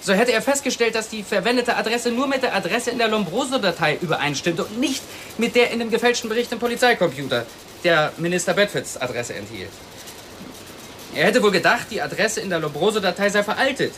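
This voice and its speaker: Radio crime play: woman playing female investigator, mid-pitched, methodical and factual, light room ambience behind. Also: lively intonation, fairly bright tone, slight background noise, lightly acted. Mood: matter-of-fact. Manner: explanatory, deliberate. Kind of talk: radio crime drama dialogue.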